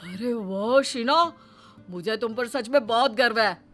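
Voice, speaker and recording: An actor voicing an easygoing, gullible husband in a Hindi moral-story cartoon, excitable and admiring. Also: medium pitch, measured pace, faint music behind, lightly acted. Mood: proud.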